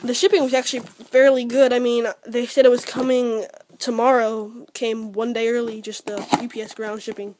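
A teenage boy with an American accent talking casually and a little haltingly as he shows things to a handheld camera at home. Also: very high-pitched, flat delivery, a little mellow, a little hiss or hum. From Georgia, United States. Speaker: fishing vlogger. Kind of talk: casual unscripted product showcase. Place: room at home. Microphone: handheld camera at arm's length.

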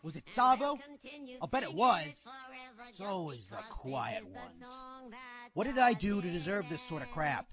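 A man acts out a hot-tempered male demon in a radio drama. He rants and gripes in a high voice that swings wildly, with music playing behind. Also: wildly swooping pitch, unhurried. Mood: bitter ranting. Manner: ranting, swearing, aggrieved. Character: grumpy foul-mouthed orange male demon, hot-tempered and petty.

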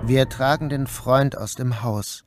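A man's voice: mid-range voice, steady tone, a little slow, soft background music. A man narrating an audio drama in the first person, as its protagonist. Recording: noticeable background noise.

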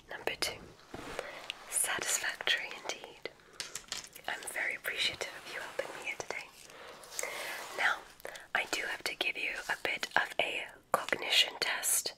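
A woman whispering breathily in an ASMR roleplay as a kindly witch examiner, soft and gently reassuring. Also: moderate pace, pauses often, rough recording.

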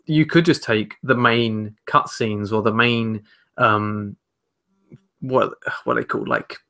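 Young man with a bright, forward voice. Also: on the low side, takes it slowly. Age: young adult. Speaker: podcast co-host. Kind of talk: casual discussion. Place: home room with shelves.

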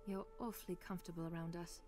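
A woman's voice: on the low side, steady tone, fast, dark tone, soft background music, lightly acted. A woman playing a young subordinate in a video game, teasing yet respectful.

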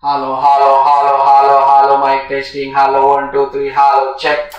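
A man's voice: medium pitch, monotone, normal speed, lo-fi sound. A man calling out short mic checks through a megaphone. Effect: amplified through a megaphone. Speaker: product demonstrator. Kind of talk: short repeated microphone test calls. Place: echoey showroom. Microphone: camera mic picking up megaphone. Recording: very echoey megaphone output, distant.

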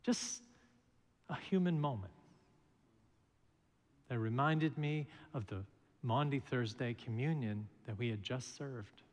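A middle-aged man, a minister, preaching a sermon from the pulpit, telling stories in a slow and measured way. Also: mid-range voice. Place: large church sanctuary, at a pulpit. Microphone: pulpit microphone. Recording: reverberant church hall.

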